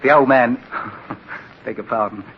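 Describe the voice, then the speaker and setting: An actor playing a loyal, plain-spoken British sailor with a folksy, respectful manner in an old-time radio drama. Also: male voice, mid-range voice, a little slow, lightly acted. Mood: consoling. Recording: noisy dull vintage radio recording.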